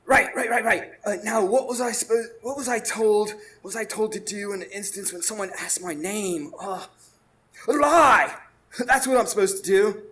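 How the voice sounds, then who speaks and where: A bumbling, jolly pirate's sidekick in a radio play. His voice sits very high for a man, and he rambles in a flustered, absent-minded way, often repeating himself. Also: moderate pace, a bit bright. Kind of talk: live radio drama acting.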